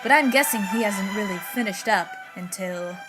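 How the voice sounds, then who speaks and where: A woman's voice: medium pitch, moderate pace, fairly bright tone, lightly acted. A woman acting a prim, reproachful and easily flustered housewife in a theatrical 1940s radio drama. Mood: insinuating. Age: teens. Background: music.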